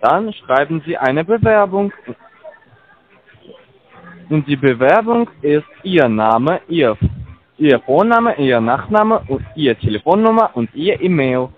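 A man's voice: on the high side, sing-song, slow. A man in on a scam call, speaking broken insistently. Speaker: call center agent. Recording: tinny phone line.

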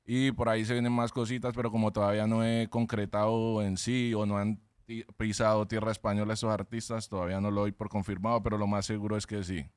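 A Colombian man, a rapper guest, answering interview questions in a relaxed, slangy way. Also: fairly low pitch, fast talker, hardly any pauses, smooth, no room sound, studio quality.

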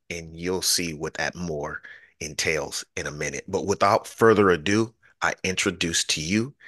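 A man's voice: low voice, bright, forceful tone, dry recording. A middle-aged man hosting a podcast over a video call, effusive and grateful, often repeating his words. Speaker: podcast host. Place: home studio, indoors. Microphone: studio mic on a video call.

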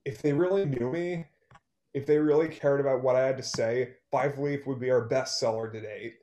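Bitter, guarded male songwriter played by a man for a stage-play reading. Mid-pitched, terse, sarcastic, in a roomy echo. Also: steady tone, moderate pace, dark tone, lightly acted. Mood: resigned. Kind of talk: online one-act play table read. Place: small room at home, video call. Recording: roomy, echoey video-call sound.

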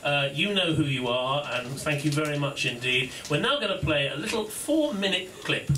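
A man's voice: fairly high pitch, animated, normal speed, sharp tone, a little hiss or hum. A man hosting a launch event in a pub, giving an informal, self-deprecating speech full of fillers and laughs. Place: pub function room. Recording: echoey room from far off.

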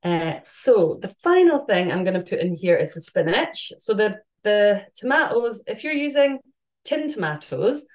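A woman's voice: medium pitch, sing-song, a little slow, a little mellow. A woman in her thirties with a London accent, chatting casually while cooking live in her home kitchen, rambling with lots of fillers and restarts. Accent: London English. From England. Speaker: vegan cook and host. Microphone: camera on the counter, a few feet away.

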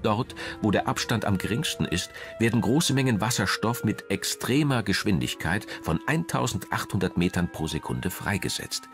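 The low, expressive male voice that guides viewers through a late-night television documentary, music underneath. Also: moderate pace, few pauses. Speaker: documentary narrator. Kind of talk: scripted narration.

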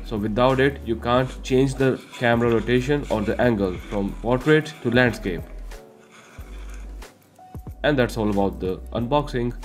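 A man's voice: mid-range voice, roomy sound. Chatty Indian man unboxing tech. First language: not English. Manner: chatty, many fillers like "you know". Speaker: tech reviewer. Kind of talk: casual unboxing review. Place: indoor studio room with curtains.

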